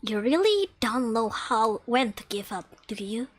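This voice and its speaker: A woman's voice: on the high side, sing-song, measured pace, voice pushed down. A woman voicing a stern, exasperated schoolgirl in an anime game fandub, scolding and haughty.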